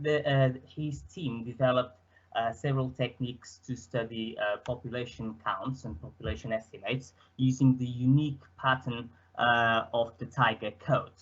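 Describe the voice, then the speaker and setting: Man, Portuguese accent, young adult, halting slide presentation, lots of fillers, medium pitch, muffled lo-fi sound. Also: a bit bright. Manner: hesitant, many fillers and restarts. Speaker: student presenter. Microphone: headset.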